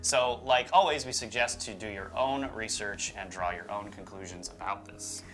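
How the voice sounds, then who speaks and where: A man's voice: on the low side, steady tone, normal speed, a bit bright. A man co-hosting a UFO show with informal, opinionated conversational commentary. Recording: music behind the voice. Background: background music.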